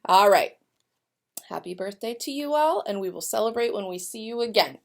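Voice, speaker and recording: A woman giving school announcements and reading a picture book aloud to young children, speaking directly to them in an expressive, deliberate way. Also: mid-range voice, gravelly. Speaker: elementary school staff member giving announcements and read-alouds.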